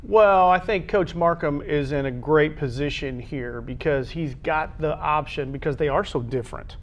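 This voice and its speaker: A man hosting a conversational sports show, with halting phrasing that often repeats itself. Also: fairly high pitch, a little hiss or hum. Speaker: sports talk show host.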